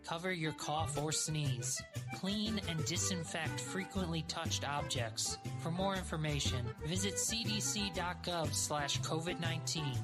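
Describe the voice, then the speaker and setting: A woman reading a scripted instructional announcement as a public service announcer. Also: on the low side.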